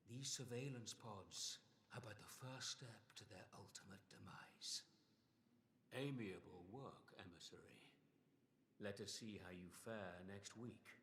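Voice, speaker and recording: An actor playing a zealous, grandiose villain commander in a fantasy video game, speaking in a solemn, archaic, pompous manner. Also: male voice, fairly low pitch, fairly bright tone, a little hiss or hum. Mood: cool approval. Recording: echoing game dialogue, faint background ambience.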